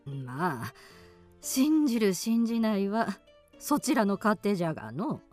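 A woman's voice: mid-range voice, sing-song, measured pace, darkish tone, soft background music. A woman playing a centuries-old, mysterious and stern old mountain woman with archaic, weighty speech in a radio drama. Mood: cryptic, calm.

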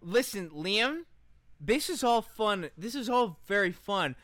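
A man with a higher-pitched, very animated voice co-hosts a comedy podcast. His delivery is casual, emphatic and sweary, like someone ranting to a friend. The sound is bright and dry. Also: slow, no room sound. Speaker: podcast co-host.

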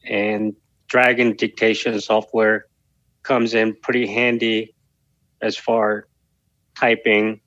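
Slow halting man, Korean native. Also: lowish voice, flat delivery, fairly bright tone, dry recording, dull audio. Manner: halting, slow, many pauses and fillers. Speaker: guest. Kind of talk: podcast interview.

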